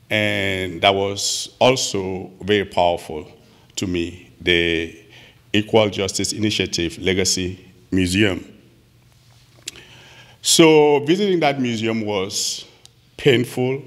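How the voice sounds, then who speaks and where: A man from Cameroon, giving a halting, earnest personal reflection at a church gathering. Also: low voice, a bit bright. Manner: halting, earnest, with frequent pauses. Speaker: church trip participant sharing reflections. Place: church sanctuary. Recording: long reverb of a large hall.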